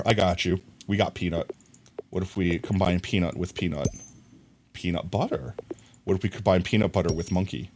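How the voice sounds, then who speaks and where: Lively man yelping while streaming a game. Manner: excited, jumps to sudden high yelps; talks to chat, thinks out loud. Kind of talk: live game stream commentary.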